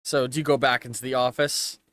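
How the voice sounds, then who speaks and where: A man narrating a tabletop game as game master, improvising casually and haltingly as he searches for words. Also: mid-range voice, little pitch movement, fairly bright tone.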